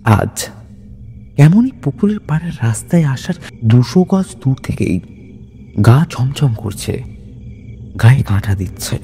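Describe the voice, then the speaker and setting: Slow, dramatic man telling horror stories. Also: mid-range voice, sing-song, dark tone, faint music behind. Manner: suspenseful, deliberate phrasing with dramatic pauses. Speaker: horror story narrator. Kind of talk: dramatic scripted horror storytelling in Bengali.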